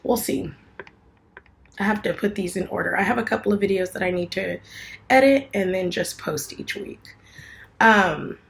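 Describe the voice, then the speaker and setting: A woman's voice: fairly low pitch, vocal fry, a bit bright. A woman in her twenties talking casually to her viewers in a rambling home vlog, full of fillers and restarts. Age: 20s. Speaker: vlogger.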